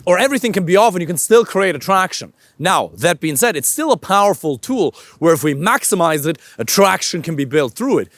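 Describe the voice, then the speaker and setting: A man with a naturally high voice coaches other men while he walks outdoors. His pitch swings widely as he leans on words for emphasis, and now and then he swears casually. The sound is close and dry. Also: big pitch swings, brisk, hardly any pauses, a bit bright, no echo. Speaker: dating coach. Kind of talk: walking talk to camera, coaching. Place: outdoors, walking with a camera operator.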